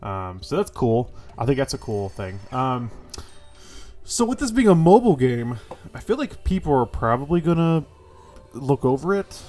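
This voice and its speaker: A man's voice: mid-range voice, big pitch swings, normal speed, warm tone. A man with a stuffy, congested voice giving casual, rambling impressions of a game as host of his gaming channel, full of fillers and the odd swear. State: congested from a cold.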